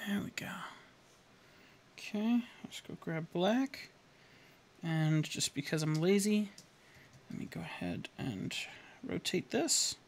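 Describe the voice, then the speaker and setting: A man's voice: high voice, expressive, takes it slowly, pauses often, slight background noise. A man in his thirties streaming live as he paints, rambling in a self-deprecating way and chuckling often, talking into a headset in a home studio. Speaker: caricature artist streaming. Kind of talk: casual live-stream narration while painting. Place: home studio room indoors.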